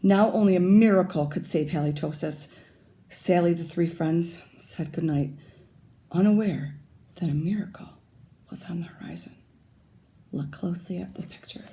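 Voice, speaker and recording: A woman teacher reading a picture book aloud to young children, pausing to comment and ask them questions. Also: lowish voice, warm tone.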